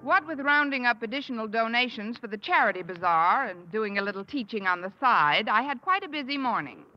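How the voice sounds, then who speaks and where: An actress playing a sardonic, quick-witted schoolteacher who narrates a 1950s radio sitcom. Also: female voice, fairly high pitch, expressive, dull audio, soft background music, close to an everyday voice. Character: wisecracking dry-witted female English teacher. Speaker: teacher and narrator.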